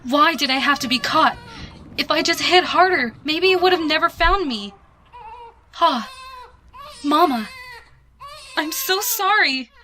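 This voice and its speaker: A woman's voice: sits high, animated, measured pace, pauses often, sharp tone, slight background noise, voice pushed up, exaggerated voice. A woman playing a frightened little filly in a dramatic story, tearful and trembling, with music behind her. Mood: anguished.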